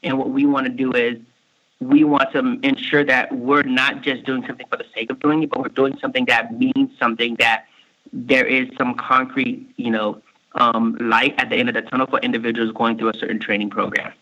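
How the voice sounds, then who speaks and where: A man's voice: mid-range voice, flat delivery, bright, forceful tone. Haitian-born man with a Miami accent. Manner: halting, many fillers and restarts. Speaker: state workforce official, guest. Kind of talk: conversational interview answers. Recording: muffled lo-fi recording.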